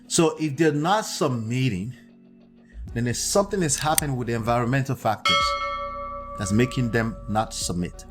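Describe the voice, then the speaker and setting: A man with a Nigerian accent hosting a livestream, lecturing his viewers and checking in with frequent "okay?" and "right?". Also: medium pitch. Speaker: livestream host. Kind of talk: livestream commentary.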